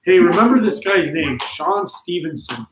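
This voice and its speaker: A fairly high-voiced man chats casually on a live stream, cheering on his viewers with motivational pep and plenty of filler ums. His voice sounds worn from talking a long while. The room around him rings with echo. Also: lively intonation, normal speed, over a noisy crowd. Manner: encouraging and motivational, with many ums. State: voice getting tired from long talking. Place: indoors at a friend's home. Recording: echoey room.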